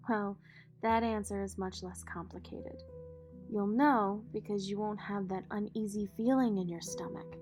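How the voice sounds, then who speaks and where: A woman acting in an audio drama as a poised, protective, wealthy mother and executive, measured, polished and dryly witty. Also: medium pitch, fairly even intonation, lightly acted. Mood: proud, tender. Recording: music behind the voice.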